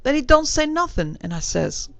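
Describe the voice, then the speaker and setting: A young Cockney bellboy, dropping his H's and tacking sir onto everything, blunt and a little shaken, as a storyteller puts him on. His voice sits higher than the teller's own, with a gravelly edge. The sound is close and dry, like a storybook reading. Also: big pitch swings, normal speed, a bit bright, dry recording, heavily acted. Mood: indignant. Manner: dropped H's, rough working-class speech, repeated sirs.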